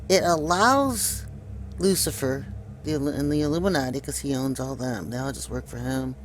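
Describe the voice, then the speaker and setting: A man's voice: on the high side, slight background noise. A man hosting an informal video commentary, insistently pointing things out to his viewers. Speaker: video host. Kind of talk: informal conspiracy commentary over pictures.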